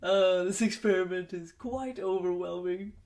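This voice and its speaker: A woman with a nasal, stuffed-up voice, sniffly as she comes down with a cold. She rambles through her solo podcast in a chatty, self-mocking way, swears now and then, and breaks into loud exasperated bursts. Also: fairly low pitch, normal speed, a little hiss or hum. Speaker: podcast host. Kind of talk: solo casual podcast chat. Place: home basement studio.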